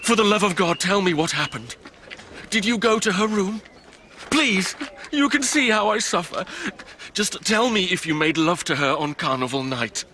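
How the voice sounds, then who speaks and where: High bright pleading lovesick man. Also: normal speed, slight background noise. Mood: anguished pleading. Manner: insistent, theatrical. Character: lovesick, pleading male sanatorium patient. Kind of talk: radio drama acting.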